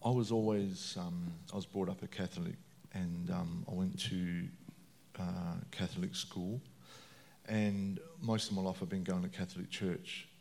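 A man's voice: deep voice, fairly even intonation, unhurried, creaky, a little mellow, a little hiss or hum. A man telling his faith story as a guest at a church, speaking hesitantly.

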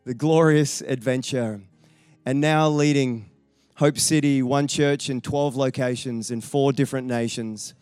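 A man's voice: mid-range voice, fairly even intonation, a little slow, darkish tone, smooth, no echo, soft background music. A man introducing the guest pastor to a church, building his point in emphatic, repeating steps. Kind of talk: sermon introduction.

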